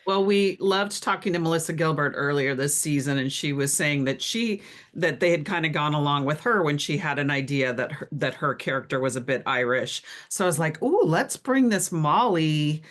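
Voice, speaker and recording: A woman's voice: deep voice, normal speed, hardly any pauses. A woman hosting a podcast interview in a casual, informal and enthusiastic way.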